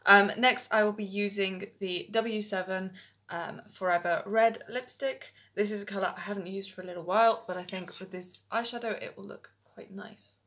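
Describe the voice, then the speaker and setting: A young woman, slightly hoarse from a cold, talks through a makeup look step by step in a relaxed way with many ums, filmed at home by a camera in front of her. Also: mid-range voice, moderate pace. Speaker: makeup vlogger. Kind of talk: makeup tutorial. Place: small indoor room at home.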